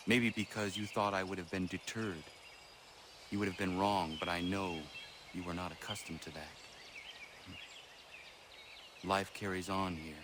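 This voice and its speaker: An actor playing an earnest, burdened young warrior in grief, stoic and deliberate, in a dramatic video game style. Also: male voice, deep voice, noisy background, close to an everyday voice. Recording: outdoor nature ambience with birds.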